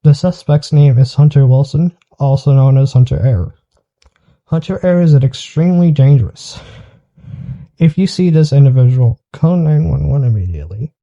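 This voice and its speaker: A man reading a formal scripted emergency alert in a flat, official manner. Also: mid-range voice, normal speed. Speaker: alert announcer. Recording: dull lo-fi alert broadcast.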